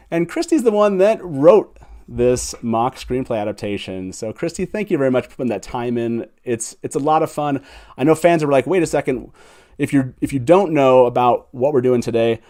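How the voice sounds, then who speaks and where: A man's voice: medium pitch, darkish tone. A man hosting a live fan talk show in a casual, enthusiastic and emphatic way.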